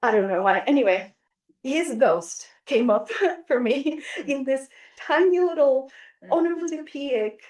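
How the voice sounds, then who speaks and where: A fairly high-pitched woman whose first language is Bulgarian speaks in a reflective, academic way, pausing and restarting as she thinks. She sounds set back from the microphone. The room around her echoes. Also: a little mellow. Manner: thoughtful, many restarts and fillers. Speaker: roundtable speaker on translation. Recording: roomy sound, distant mic.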